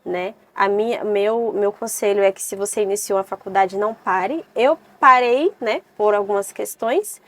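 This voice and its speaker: A woman in her twenties from Salvador, Brazil, talking earnestly as a podcast guest and often pausing and adding "né". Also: medium pitch. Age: 20s. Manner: earnest, with many hesitations and "né" tags. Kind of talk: casual interview chat.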